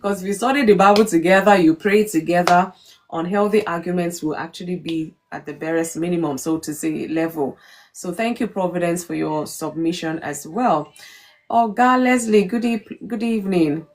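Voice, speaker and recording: A woman's voice: fairly low pitch, normal speed. Woman hosting a faith talk. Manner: warm greetings to viewers, many fillers. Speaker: live stream host.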